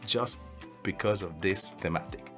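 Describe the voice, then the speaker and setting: A man's voice: low-pitched, normal speed, sharp tone, faint music behind. A man born in Congo and raised in Switzerland, an actor talking earnestly and informally in an interview. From born in DR Congo, grew up in Switzerland. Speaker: actor being interviewed as cast member. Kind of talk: casual interview talk.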